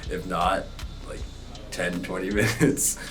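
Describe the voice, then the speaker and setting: Easygoing young man, very low voice. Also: steady tone, takes it slowly, fairly bright tone, soft background music. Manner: easygoing, with uhs and laughs. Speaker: student interviewee. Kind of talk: casual street interview.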